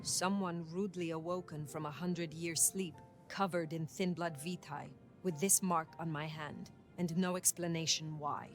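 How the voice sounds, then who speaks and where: An actress plays a strong vampire woman from a video game, freshly woken and demanding. Her voice is very low, heard in quiet game playback with faint music behind it. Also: fairly even intonation, normal speed, few pauses, close to an everyday voice.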